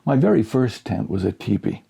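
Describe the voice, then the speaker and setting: A man narrating a humorous short story as an audiobook, with dry, measured storytelling. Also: on the low side, animated, vocal fry, dark tone, husky.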